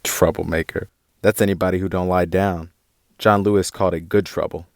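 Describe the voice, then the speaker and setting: An actor playing a defiant, streetwise young activist in an audio drama, with a sardonic, informal way of talking. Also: male voice, low voice, little pitch movement, a little fast, dry recording, studio quality. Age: young adult.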